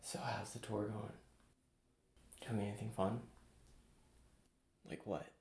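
A young man playing quietly sparring tennis rivals in a hushed, understated, teasing ASMR reenactment. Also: on the low side, level tone, slow pace. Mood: probing, guarded. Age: young adult. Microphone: desk microphone, close. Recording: clearly noisy close-mic recording, crinkling.

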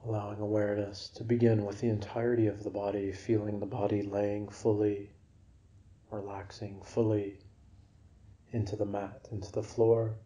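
A man guiding a yoga nidra relaxation for sleep in a gentle, unhurried, soothing manner. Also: on the low side, little pitch movement. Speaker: meditation guide.

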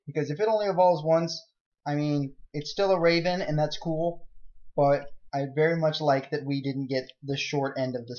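Young guy rambling over his game, kinda high voice, jokey, yelps when stuff happens, dull lo-fi mic. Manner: rambling, jokey, excitable outbursts. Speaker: let's play gamer. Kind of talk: casual game commentary.

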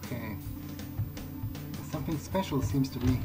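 A man gives an in-character running commentary on a game, talking to himself as he goes. His voice is middling in pitch, with game music playing loudly behind it. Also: animated, moderate pace. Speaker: gamer role-playing a character.

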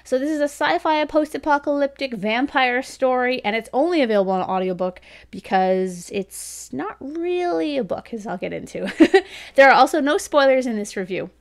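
A woman's voice: high-pitched, animated, moderate pace. A woman in her thirties giving a casual, chatty review as host, informal in manner and talking straight to the camera. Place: small indoor room lined with bookshelves.

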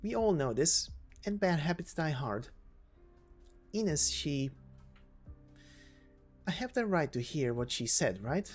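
A woman's voice: deep voice, big pitch swings, slow pace, long pauses, husky, faint music behind, heavily acted. A woman voicing a prickly, sardonic young female mercenary, evasive and defiant, in amateur game story acting. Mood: bitter, defiant.

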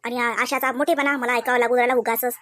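A woman's voice: on the high side, flat delivery, fast talker, a bit bright, no room sound, studio quality, close to an everyday voice. A woman voicing a snobbish, boastful, envious sister-in-law in a comedy cartoon, affected and condescending. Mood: resentful, grumbling. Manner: affected, condescending, with snide muttered asides. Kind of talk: exaggerated cartoon comedy dialogue.

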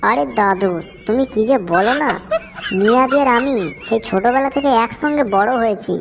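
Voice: mid-range voice, normal speed, background noise, lightly acted. A performer voicing a cheeky young matchmaker in a comic cartoon, teasing and wheedling in crude slang. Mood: wheedling salesmanship. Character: cheeky, foul-mouthed young matchmaker hustling an old customer.

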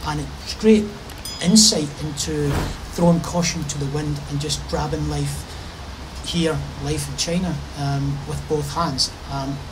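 A man's voice: on the high side, steady tone, a little slow. Middle-aged guy vlogging outside in a park pavilion, chatty and upbeat, outdoor noise behind. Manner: enthusiastic, gesturing with his hands while he talks. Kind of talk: casual vlog to camera.

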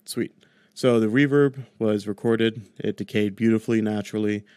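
A young man with a fairly low voice walks through a screen-recorded tutorial in an informal, chatty style. He is on a close desk mic in a small room at home, and the sound is dry. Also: steady tone, moderate pace, dark tone, no room sound. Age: 20s. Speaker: audio tutorial host. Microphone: close desk microphone on boom arm.

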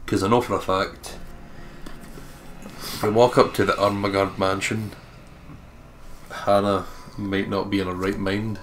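A man's voice: on the low side, level tone, measured pace, long pauses, slight background noise. A man, chatting casually as a co-commentator on a game. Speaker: let's play co-commentator. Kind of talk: casual chat. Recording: music behind the voice. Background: game music.

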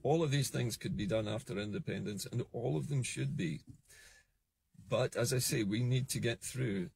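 A Scottish man in his fifties giving an earnest, measured political monologue to a phone camera from a parked car. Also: medium pitch, creaky, darkish tone, slight background noise. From Scotland. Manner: earnest, measured, emphatic on key points. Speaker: political commentator. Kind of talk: solo political vlog monologue. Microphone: phone camera at arm's length.

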